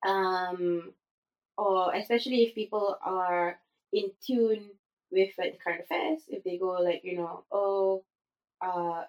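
A woman from Singapore talking as a guest in a casual interview, animated and full of fillers and restarts. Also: medium pitch, a little slow, poor audio. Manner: animated, many fillers and restarts, laughs often.

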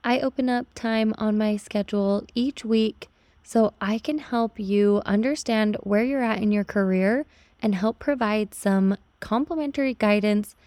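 A woman's voice: medium pitch, gravelly, warm tone, no room sound. A woman hosts a podcast with an upbeat, friendly delivery. Her voice sits in a middle register. The recording is close and dry. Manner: upbeat, scripted intro then friendly chat. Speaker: podcast host. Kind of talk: podcast host interview.

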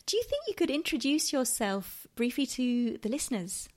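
A woman's voice: high-pitched, big pitch swings, moderate pace, darkish tone. A woman hosting a podcast, first reading a prepared introduction, then interviewing a guest with short encouraging replies. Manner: reads the intro steadily, then chats with encouraging fillers. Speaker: podcast host.

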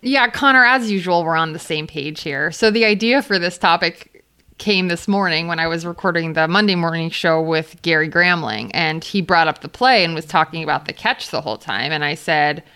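A young woman co-hosting a sports podcast, talking in a calm, friendly, measured way. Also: deep voice, clear, resonant tone. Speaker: podcast co-host. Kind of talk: casual sports podcast chat.

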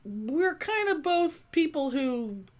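A woman's voice: high-pitched, takes it slowly. A woman in her fifties chatting casually as a podcast co-host, swearing freely and telling stories with energy. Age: 50s. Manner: profane, animated storyteller. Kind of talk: casual chat.

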